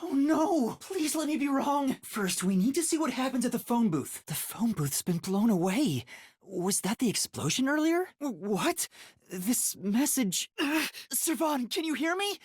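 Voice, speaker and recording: A man voicing an anxious, earnest young male detective in a video game, with a soft, light, boyish voice and a hesitant, polite delivery. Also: very high-pitched, sing-song, unhurried, slightly rough, no room sound, clean studio sound, exaggerated voice. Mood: flustered, alarmed. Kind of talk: English video game voice acting.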